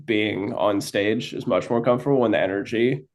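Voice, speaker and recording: A man's voice: lowish voice, normal speed, darkish tone. A man, a band's guitarist, answering interview questions in a laid-back, loose way with casual swearing.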